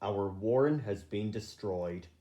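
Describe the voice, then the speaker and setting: A man voicing a steady, protective male rabbit leader in an earnest storybook manner. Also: on the low side, slow, pitched below the performer's own voice.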